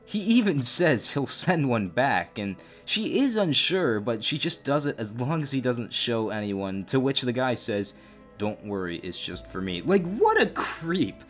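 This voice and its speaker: A man's voice: medium pitch, very animated, a little slow, few pauses. A man doing exclamatory online commentary, reading out chat messages and reacting with open disgust. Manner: exclamatory, openly disgusted asides. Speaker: YouTube host narrating a story. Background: background music.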